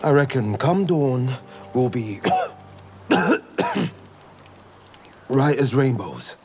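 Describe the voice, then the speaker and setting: A very slow, weary companion. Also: male voice, mid-range voice, lively intonation, a little mellow, a little hiss or hum. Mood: weary hope. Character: weary, ailing but upbeat man, a folksy companion. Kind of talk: video game dramatic acting. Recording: music behind.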